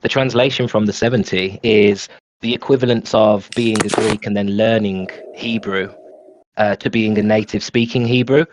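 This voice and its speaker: A man's voice: lowish voice, fairly even intonation, moderate pace, background noise. Man talking over a dull lo-fi line. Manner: stumbling restarts, questioning tone. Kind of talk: casual discussion.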